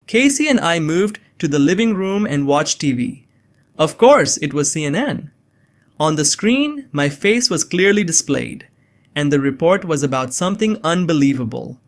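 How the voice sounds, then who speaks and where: A man's voice: on the high side, lively intonation, moderate pace. A young man playing a bewildered innocent framed as an assassin, narrating his own story in a scripted drama. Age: young adult. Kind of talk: first-person scripted narration with dialogue.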